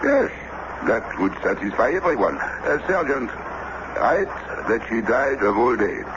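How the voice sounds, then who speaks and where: An actor in a radio drama playing a wry, unflappable French police commissioner with a deliberate, officious delivery. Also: male voice, mid-range voice, sing-song. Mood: wry, unbothered. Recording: dull old radio with clear noise.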